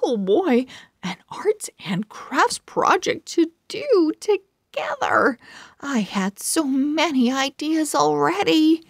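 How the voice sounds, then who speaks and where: A woman voicing an excitable, eager little pug confiding dramatically in a diary in a children's storybook. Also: high voice, slow, vocal fry, dry recording, clean studio sound, pitched above the performer's own voice, full character voice. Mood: eager, dramatic.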